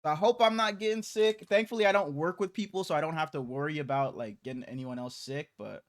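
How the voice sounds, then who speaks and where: Male podcast host, high voice, slightly congested, slangy and profane casual chat. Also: quick pace. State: slightly congested, under the weather.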